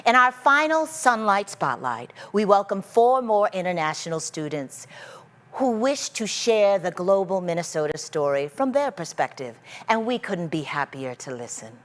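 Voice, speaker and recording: A woman presenting segments at a gala, reading a gracious, measured script. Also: medium pitch, very animated, fairly bright tone. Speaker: event presenter.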